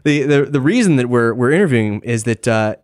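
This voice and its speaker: A man's voice: medium pitch, expressive, fast talker. A man hosting a casual podcast in a playful, bantering way with a touch of mock grandness. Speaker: podcast host.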